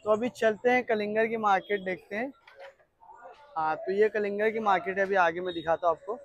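A man's voice: high-pitched, a little fast, dry recording, dull audio. A man with a Mumbai Hindi accent doing casual market-vlog commentary, chatty and repetitive, addressing viewers informally. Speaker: vlogger touring a wholesale fruit market. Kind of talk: casual vlog commentary, asking vendors prices. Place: large covered wholesale fruit market. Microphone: handheld phone.

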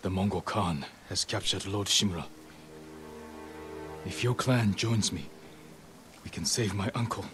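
Deep-voiced, solemn young samurai. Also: male voice, a little slow, pauses often, faint music behind. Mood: solemn, determined. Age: young adult. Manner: solemn, firm delivery. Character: earnest, determined young samurai, last of his clan. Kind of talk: dramatic video game acting.

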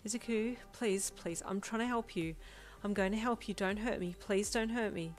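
A woman voicing a frightened but caring female doctor in an audio story, speaking haltingly and pleadingly. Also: fairly low pitch, steady tone, a little mellow, faint music behind, close to an everyday voice. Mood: pleading, fearful. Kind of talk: acted dialogue in an audio story.

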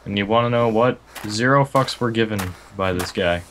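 A young man on voice chat, low-pitched and flat, complaining through a game while he fills his talk with "like". His audio carries a faint hiss. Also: moderate pace. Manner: deadpan griping, heavy filler "like". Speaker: co-player on voice chat. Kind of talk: casual gaming chat.

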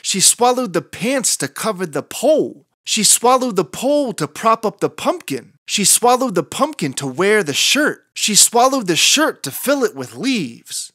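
A children's read-aloud narrator with a very animated, rising and falling pitch and an unhurried pace. The delivery is deliberate and rhythmic, with a sing-song lilt. The voice is recorded dry and close. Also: no room sound. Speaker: children's entertainer and story reader.

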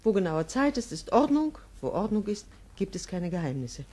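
An actress playing a tired, orderly mother in an old radio drama, brisk in manner. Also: medium pitch, sing-song, dark tone, a little hiss or hum, lightly acted. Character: tired older mother, fussy about order. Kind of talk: 1950s radio drama acting. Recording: old radio broadcast with music.

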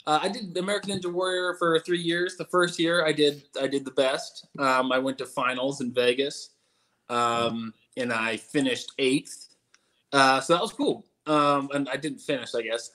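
A young man in a casual interview over a video call from home, telling his story in a relaxed way with many fillers. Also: mid-range voice, normal speed. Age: young adult. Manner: laid-back, many "uh"s. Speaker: guest stuntman. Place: room at home.

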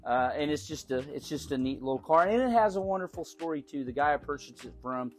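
A man's voice: fairly high pitch, animated. Folksy man touring his garage. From Oklahoma. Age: middle-aged. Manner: folksy, unhurried, repeats words while thinking. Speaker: car collector giving a garage tour. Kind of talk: casual show-and-tell. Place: large garage.

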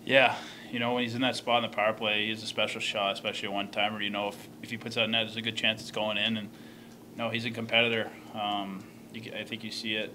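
A young man in his twenties answering postgame questions at a press conference table microphone, quick and matter-of-fact with many fillers. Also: lowish voice, little pitch movement, sharp tone, slight background noise.

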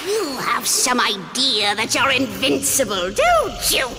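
A woman's voice: fairly high pitch, sing-song. An actress playing a cruel, imperious ancient alien queen in a haughty, menacing manner. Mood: gloating menace. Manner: haughty, menacing, drawn-out delivery. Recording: cavernous reverb, music behind.

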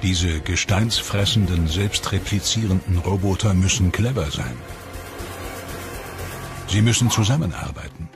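Man, documentary narrator, low voice, measured scripted delivery, music bed behind. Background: documentary music score.

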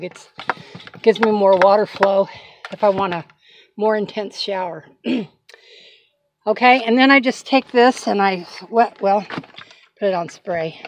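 A woman's voice: mid-range voice, background noise. An older woman vlogging from inside her cargo van, chatting folksy and casual as she demonstrates something, often apologizing and asking for patience. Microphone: handheld phone camera.

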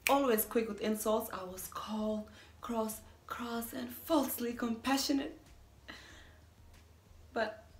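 A woman performs a mean young woman fending off a proposal in a theatrical monologue. Her voice is fairly high and creaky, with dramatic, pleading emphasis. The room is clearly echoey. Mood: confessional. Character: self-declared mean young woman, panicked by a marriage proposal.

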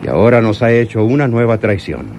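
An actor playing a bitter, menacing crime boss in a Mexican radio drama, with a Mexican accent. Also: male voice, on the low side, big pitch swings, brisk, darkish tone, a little hiss or hum. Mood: bitter, angry.

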